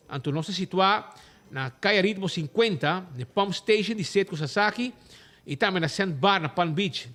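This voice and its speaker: A man with an Aruban accent hosting a radio talk show, chatting loosely, reading ads and switching between languages. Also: high-pitched. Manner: lively, rambling, mixes languages.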